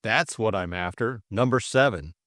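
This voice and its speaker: A man voicing a flippant young gentleman sleuth in an audiobook, glib, drawling and teasing. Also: lowish voice, moderate pace, no room sound, studio quality, close to an everyday voice. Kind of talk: audiobook character acting.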